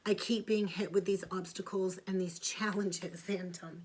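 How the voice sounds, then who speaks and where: A woman's voice: medium pitch, fairly even intonation, unhurried, a little mellow, slight background noise. A middle-aged woman giving a weekly tarot reading, blunt and frank, with some salty language. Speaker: tarot reader. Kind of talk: spiritual forecast monologue.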